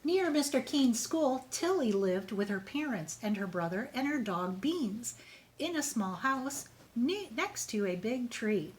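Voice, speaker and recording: A woman reading a children's storybook aloud in an expressive, measured way, as if to young pupils. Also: fairly high pitch.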